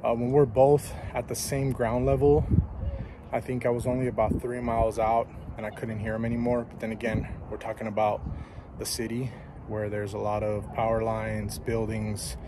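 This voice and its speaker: A man's voice: on the low side, normal speed, background noise. A man in his thirties vlogging outdoors on a mountaintop at night, talking casually through a headset mic with frequent ums. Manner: relaxed, plain-spoken, frequent ums. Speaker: vlogger testing radios. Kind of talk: casual vlog talk to camera.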